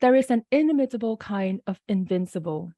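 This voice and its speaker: A woman from Singapore reading her poems aloud and briefly introducing each one, sounding a little rough from the early hour. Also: mid-range voice, expressive, warm tone, no room sound. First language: Mandarin. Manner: measured, unhurried reading with brief spoken introductions. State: slightly off-sounding early-morning voice. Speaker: poet reading her work. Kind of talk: poetry reading.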